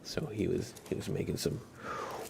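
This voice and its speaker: A man streaming live ASMR, gently and unhurriedly switching between whispering and soft speech, close to the microphone. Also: moderate pace, background noise.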